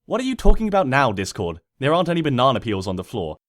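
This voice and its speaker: Man putting on an indignant, animated young mare. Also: medium pitch, fast talker, dry recording, clean studio sound. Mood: snotty, smug. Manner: exasperated, indignant. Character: irritated, stubborn young female pony heroine of a storybook.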